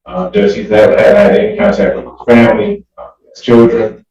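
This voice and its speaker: A distant, very echoey recording of a male defense lawyer in a courtroom. His voice is mellow and a bit muffled, and he pleads in a hesitant, deferential, halting way. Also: mid-range voice, very animated. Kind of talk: spontaneous plea to a judge in court. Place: indoor courtroom.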